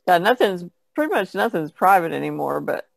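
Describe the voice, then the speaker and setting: A woman's voice: lowish voice, big pitch swings, brisk, vocal fry, dry recording, studio quality. A woman chatting casually in a podcast discussion.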